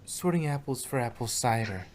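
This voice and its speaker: A man's voice: mid-range voice, lively intonation, normal speed, a little mellow, a little hiss or hum, lightly acted. A man voicing an earnest, friendly young female unicorn pony in a fan fiction reading.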